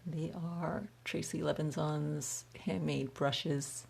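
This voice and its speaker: Low, gravelly-voiced woman chatting. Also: steady tone, slow, darkish tone. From United States. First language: Chinese. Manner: chatty, reads viewer comments aloud, frequent restarts. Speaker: artist hosting a livestream Q&A. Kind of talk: casual livestream chat while painting.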